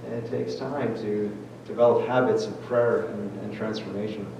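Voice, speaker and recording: A man's voice: lowish voice, moderate pace, creaky, muffled recording. A man, a priest, talking casually to his parish and answering questions, full of "like" and "I mean". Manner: animated, filler-heavy, lots of "like". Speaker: priest giving a parish talk. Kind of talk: casual talk and Q&A. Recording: echoey church hall, distant mic, noisy.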